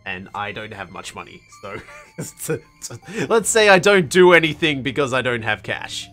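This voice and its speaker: A man's voice: medium pitch, sing-song, a little slow, a bit bright, soft background music. A young Australian man chats his way through a game stream in a wry, self-mocking tone. His mid-range voice sounds slightly strained, and it leaps up and down expressively as he jokes. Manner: wry, self-mocking asides with playful read-alongs. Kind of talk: casual let's play commentary.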